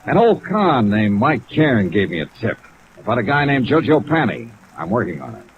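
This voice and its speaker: An actor playing a cool, persistent insurance investigator in 1950s radio detective style, clipped and casual. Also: male voice, on the low side, huge pitch swings, moderate pace, a little hiss or hum. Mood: businesslike. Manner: clipped, hard-boiled, casual. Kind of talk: 1950s radio detective acting and first-person narration. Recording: muffled old radio recording, music behind.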